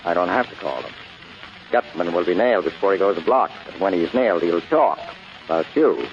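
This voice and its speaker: An actor playing a hard-boiled, cynical private detective in a 1940s radio drama, with clipped, sardonic delivery. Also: male voice, sits low, animated, brisk. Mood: tough. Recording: dull old radio recording with faint hiss.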